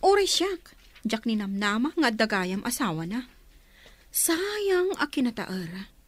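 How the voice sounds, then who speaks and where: An actress playing an expressive, outspoken young office worker and loyal friend in an Ilocano radio drama. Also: female voice, on the high side, slow, no room sound, heavily acted. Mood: exasperated, gossipy.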